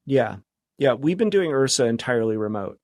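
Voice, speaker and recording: A man in his forties speaks at a medium pitch in an easy, conversational style. He is attentive and understated, a podcast guest. The recording is dry and free of room echo. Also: animated, fairly quick, darkish tone. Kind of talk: casual conversation.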